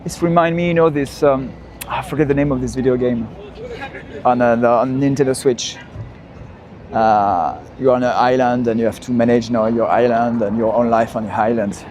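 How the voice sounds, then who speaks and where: A man's voice: medium pitch, steady tone, moderate pace. A man with a French accent hosting a live walking tour, chatting as he strolls the city and often repeating himself with "you know" asides. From France. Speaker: walking tour livestream host. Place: outdoors on busy city streets. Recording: loud street traffic behind the voice. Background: city traffic and street noise.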